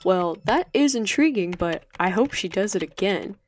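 A cheeky high school boy voiced by a woman, teasing and casual, a bit of a leering tease. It is an audiobook character with faint noise behind. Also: animated, normal speed, natural voice. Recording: slightly noisy home recording.

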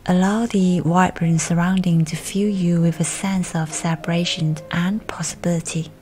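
A woman reading a scripted guided meditation in a soft, soothing, breathy delivery. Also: fairly low pitch, steady tone, moderate pace, slight background noise. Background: music.

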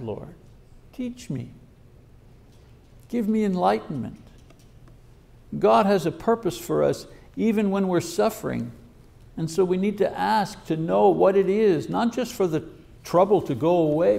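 A man in his sixties preaching a sermon at a podium, reading scripture and acting out prayers in a measured teaching manner. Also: high-pitched, creaky, darkish tone. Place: lecture hall at a podium. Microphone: podium microphone.

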